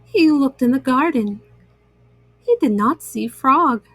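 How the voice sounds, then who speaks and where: A woman storyteller narrating a children's book with simple, deliberate phrasing. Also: sits high, animated, no room sound.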